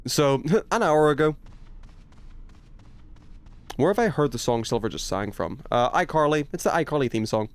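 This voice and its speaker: A man's voice: a little high, expressive, normal speed, pauses often, clean tone, no room sound. A young adult man livestreaming a video game, chatting casually and playfully with his viewers, teasing and swearing lightly. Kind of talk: casual chat while playing a horror game, reading and answering chat.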